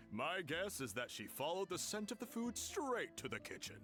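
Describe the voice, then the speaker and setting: High, lively young guardian. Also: male voice, moderate pace. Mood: amused. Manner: courteous, hospitable, earnest. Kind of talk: anime game voice acting. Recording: game music behind the voice.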